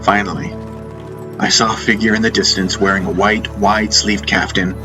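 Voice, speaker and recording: A man's voice: fairly low pitch, fairly even intonation, normal speed. A man reading a first-person fantasy story as scripted audiobook narration. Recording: music bed behind narration. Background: background music.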